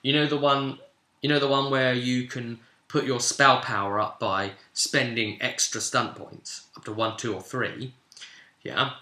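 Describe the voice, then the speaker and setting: A man's voice: medium pitch, fairly even intonation, measured pace, sharp tone. A British man recapping a tabletop role-playing session as game master, telling the story informally and explaining things with frequent asides. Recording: echoey room.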